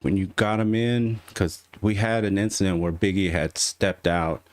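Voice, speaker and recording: A man's voice: low-pitched, fairly even intonation, moderate pace, darkish tone, no echo. A man co-hosting a podcast, telling a story in a laid-back, joking way and swearing casually. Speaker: podcast co-host.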